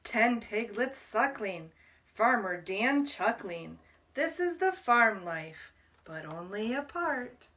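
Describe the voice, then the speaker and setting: Slow-paced woman reading to children, slightly distant. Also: fairly high pitch, sing-song, pushed and bright. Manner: deliberate, explains words to young listeners. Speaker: library storytime reader. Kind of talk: children's picture book read-aloud.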